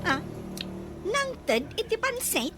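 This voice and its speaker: A woman's voice: extremely high pitch, very animated, takes it slowly, loud music behind the voice, full character voice. An actress playing a nosy, complaining village woman in an Ilocano radio drama, with exclamatory interjections.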